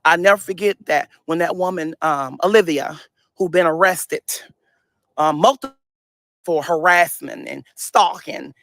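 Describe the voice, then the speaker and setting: A man's voice: on the high side, measured pace, a bit bright, no echo. A man in his thirties from St. Louis with a speech impediment, ranting emphatically and laughing often in a casual vlog. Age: 30s. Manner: emphatic, repetitive, laughs often while talking. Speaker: social media personality. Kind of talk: casual livestream-style rant.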